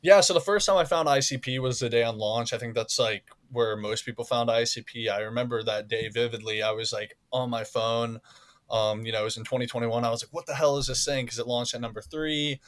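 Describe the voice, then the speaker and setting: A man's voice: medium pitch, fairly even intonation, brisk. A man who is a podcast guest, talking in a laid-back, casual way with "like" and "man". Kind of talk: casual interview talk.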